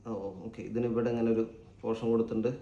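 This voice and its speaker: A man's voice: mid-range voice, completely flat delivery, fast talker, mellow, highs cut off. A Malayali man hosting a casual unboxing vlog, informal and a little hesitant as he thinks aloud. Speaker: vlog host. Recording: echoey room with faint background noise.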